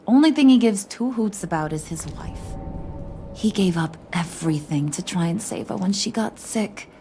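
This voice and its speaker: A woman's voice: mid-range voice, lively intonation, moderate pace, over music, natural voice. A woman playing a brash, kooky, flirtatious crime boss in a video game, with a slangy, teasing New delivery. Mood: wry, teasing. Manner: slangy, playful, teasing, wise-cracking.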